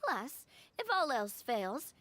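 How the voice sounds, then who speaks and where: A woman's voice: a little high, sing-song, slow pace, noisy background. An actress voicing an eager young female adventurer in a video game, upbeat and curious.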